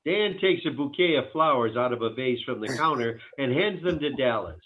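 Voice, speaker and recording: A man's voice: medium pitch, lively intonation, normal speed. A man reading stage directions as scripted narration for a table read. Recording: tinny phone-like call line.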